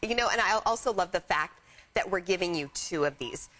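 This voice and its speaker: A woman's voice: on the low side, lively intonation, fairly quick, fairly bright tone. A woman presenting products live on shopping television in an upbeat, chatty way, like sharing family stories. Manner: upbeat, nostalgic storytelling. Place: TV shopping studio. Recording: faint background studio noise.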